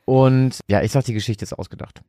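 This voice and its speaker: A man's voice: mid-range voice, steady tone, fairly quick, mellow, no room sound, studio quality. A man co-hosting a podcast in casual chat, talking loosely and colloquially as if thinking aloud. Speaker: podcast co-host.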